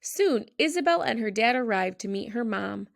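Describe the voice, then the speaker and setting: Female children's storybook narrator: expressive read-aloud delivery, noticeable vocal fry, close dry sound. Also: on the high side, moderate pace, no room sound.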